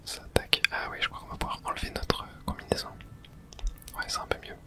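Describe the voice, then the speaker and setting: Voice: quick pace, sharp tone. A person whispering breathily while narrating their own video game play in an ASMR style, murmuring reactions and mild swearing.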